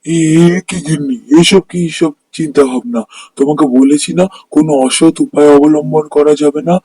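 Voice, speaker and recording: Man playing an earnest, husky village milkman. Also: fairly high pitch, fairly even intonation, moderate pace, dark tone, no echo. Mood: disapproving. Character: honest, hardworking village milkman, principled and contented husband. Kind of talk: Bengali fairy-tale cartoon voice acting.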